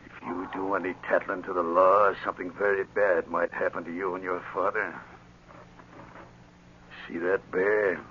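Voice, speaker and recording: An actor playing a big, cruel frontier villain who taunts and bullies in rough folksy speech, in 1940s radio drama style. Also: male voice, low voice, flat delivery, moderate pace, bright, forceful tone. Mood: menacing. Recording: dull, noisy 1940s radio recording.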